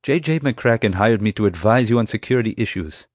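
A man's voice: lowish voice, lively intonation, fairly quick, no echo, clean studio sound, voice pushed up. A man voicing an earnest, blunt anti-poaching expert with a firm, urgent manner in an audiobook. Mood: challenging. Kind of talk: audiobook character dialogue.

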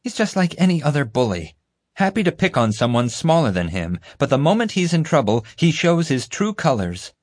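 A man's voice: a little high, big pitch swings, moderate pace, no echo, studio quality, voice pushed up. A man voicing a scornful mother who passes judgment with disgust in an audiobook. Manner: scornful, judging. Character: Mom, disgusted, scornful adult woman.

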